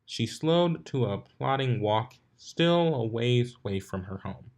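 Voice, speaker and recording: A fan fiction reader narrating a story in a medium-pitched voice. The delivery is scripted, with small stumbles along the way. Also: animated, slow, a little mellow.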